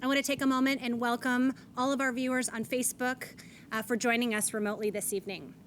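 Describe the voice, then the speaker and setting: A woman's voice: a little high, steady tone, fast, slight background noise. A woman, moderating a candidates forum on stage and reading rules and statements aloud. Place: event stage.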